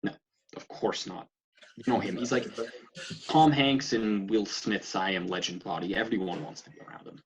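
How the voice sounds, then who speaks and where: A man's voice: lowish voice, moderate pace, close to an everyday voice. An actor playing an awkward, polite young man, hesitant and baffled, in a comedic script read over a dull connection. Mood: puzzled. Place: recorded remotely at home on a video call.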